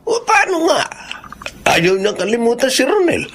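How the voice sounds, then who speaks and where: A man's voice: extremely high pitch, wildly swooping pitch, normal speed, a bit bright, slight background noise, heavily acted. An actor playing a worried, grumbling older father in a radio drama, fretful and complaining. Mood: exasperated.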